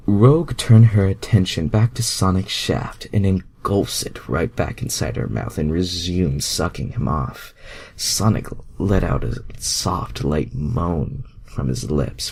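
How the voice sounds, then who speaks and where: A man with a very low, husky voice reads a story aloud at a slow pace. He narrates as an amateur and breaks off into self-mocking, exasperated, sweary remarks. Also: a little mellow. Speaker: amateur fan fiction reader.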